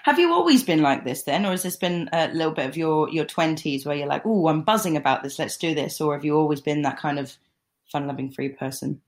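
A woman's voice: deep voice, animated, brisk. Gushing woman host in her thirties. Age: 30s. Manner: enthusiastic, gushing. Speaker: podcast host. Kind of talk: casual interview chat.

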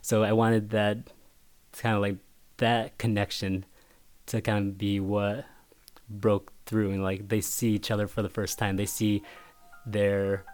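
Author guy, vietnamese was his first language, slightly low voice, thinks out loud with lots of restarts. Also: flat delivery. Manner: halting, thoughtful, many restarts. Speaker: picture book author. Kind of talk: casual interview talk.

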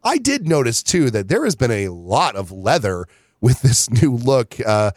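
A man's voice: mid-range voice, measured pace, no echo. Man with a cold, dry close sound. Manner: relaxed, chatty, many fillers like "you know". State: has a cold. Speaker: podcast co-host. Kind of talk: casual chat.

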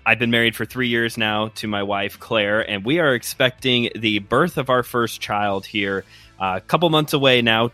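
Bright-voiced Chicago man telling a story. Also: mid-range voice, no echo. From Chicago area, US Midwest. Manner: friendly storytelling with fillers. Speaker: guest host, preacher. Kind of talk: casual podcast chat.

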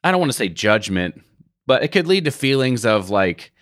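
A man in his thirties interviewing a guest in a relaxed, reflective way, often checking in with "right?". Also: mid-range voice, moderate pace, no room sound, clean studio sound. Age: 30s. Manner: reflective, tags sentences with "right?". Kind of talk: conversational podcast interview.

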